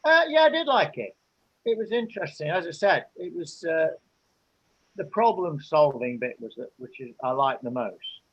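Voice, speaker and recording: An older man talks at an easy pace with a slightly high voice, stopping often to find his words. The sound is muffled and lo-fi. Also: very animated, husky. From England. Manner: unhurried, hesitant with frequent fillers. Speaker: interviewee. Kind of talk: casual interview answers.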